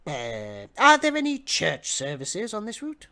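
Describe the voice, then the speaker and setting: A man's voice: sits high, wildly swooping pitch, pushed and bright, heavily acted. A man voicing a nervous, hesitant male passenger in a storybook reading, stammering with a trembling voice. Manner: stammering, halting.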